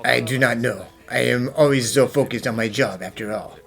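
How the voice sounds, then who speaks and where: Man playing a fussy, creaky-voiced proud chef. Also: mid-range voice, normal speed, close to an everyday voice. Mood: impatient, formal. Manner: curt, formal, fussy. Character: fussy, proud male perfectionist mansion chef, curt. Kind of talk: animated mystery fan dub acting. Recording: music under the voice.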